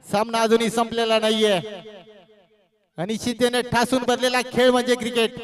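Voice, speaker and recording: A man's voice: extremely high pitch, completely flat delivery, moderate pace. A man from giving live cricket commentary in a dramatic way, with repeated phrases and poetic flourishes.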